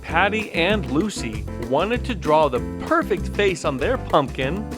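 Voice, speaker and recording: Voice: mid-range voice, sing-song, unhurried. A narrator reading a children's storybook in a scripted read-along style. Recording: music bed under the voice.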